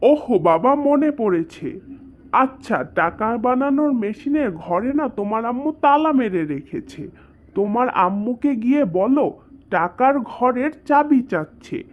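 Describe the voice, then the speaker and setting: A fairly high-pitched cartoon father, acted by a woman, with a Bangladeshi accent. He is brusque and evasive, grumbling and teasing as he waves the child away. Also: lively intonation, normal speed, phone-quality sound, natural voice. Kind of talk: comic cartoon dialogue.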